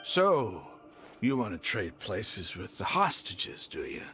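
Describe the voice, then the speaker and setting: An actor playing a proud, hostile, zealous desert mercenary leader in a video game, scornful and grandiose in a theatrical way. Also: male voice, fairly low pitch, sing-song, slow pace, bright, forceful tone, exaggerated voice. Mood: suspicious, challenging. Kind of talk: dramatic video game voice acting. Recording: background music under the voice.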